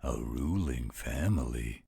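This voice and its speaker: Very deep, gravelly werewolf guardian. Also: male voice, lively intonation, mellow, no room sound, clean studio sound, exaggerated voice. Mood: worried, protective. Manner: slow, protective, fatherly. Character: gruff, overprotective male werewolf guardian, tender toward his ward. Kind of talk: audio roleplay acting.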